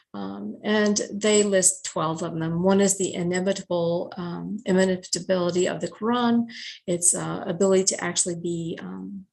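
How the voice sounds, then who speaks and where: A woman's voice: mid-range voice, a little mellow, poor audio. A native English-speaking woman giving an informal talk on religious teachings, working through a numbered list in a conversational way with frequent fillers. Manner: conversational, many fillers, enumerating points. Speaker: speaker explaining Quran teachings.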